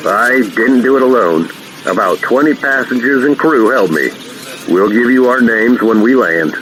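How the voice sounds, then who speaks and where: An actor playing a calm, modest veteran airline captain talking over the radio in an audio drama, plain-spoken and measured. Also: male voice, mid-range voice, natural voice. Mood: modest, steady. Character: calm veteran airline captain who retook his hijacked plane, modest. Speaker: airline pilot. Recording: noisy cockpit radio transmission.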